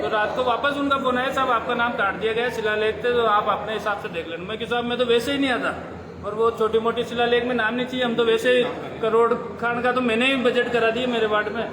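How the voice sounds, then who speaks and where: A male councillor recounting a grievance in a rambling, aggrieved way at a press conference. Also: extremely high pitch. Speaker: ward councillor. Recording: echoey room with noise.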